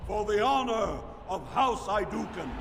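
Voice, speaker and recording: An actor playing a formal dwarf master of ceremonies in a fantasy game arena, declaiming grandly. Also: male voice, high voice, animated, a little slow, gravelly, a little hiss or hum, exaggerated voice. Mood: grand, ceremonial. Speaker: announcer. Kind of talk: fantasy video game voice acting. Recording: reverberant arena with music behind.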